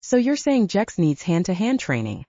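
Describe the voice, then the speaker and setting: A laid-back young werewolf fighter talking in a teasing, relaxed drawl, read by a woman. his voice swings up and down a lot and the tone is dark and mellow. the recording sounds dull and dry, like a close home setup. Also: medium pitch, moderate pace, no room sound. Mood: easygoing.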